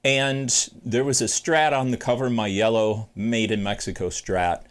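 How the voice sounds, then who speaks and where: A man's voice: fairly even intonation, unhurried. A man in his forties hosting a casual guitar gear tutorial from his home music studio, chatty and self-deprecating, thinking aloud with fillers. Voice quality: deep bass singing voice. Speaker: guitar channel host.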